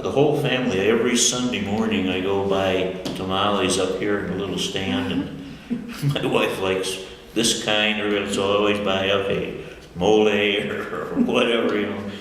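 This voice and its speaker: A man's voice: sits low, a bit bright. An older man from the United States, answering interview questions in a rambling, self-correcting way and chuckling often. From United States, Michigan. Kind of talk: casual conversational interview. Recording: echoey room with long reverb and faint noise.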